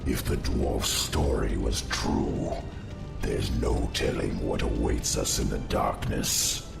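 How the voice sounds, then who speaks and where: A man voicing an ancient undead insectoid lord for a video game, grave and wary, with a cavernous gravelly voice. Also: extremely low pitch, slow, heavily acted. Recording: echoing voice under loud music.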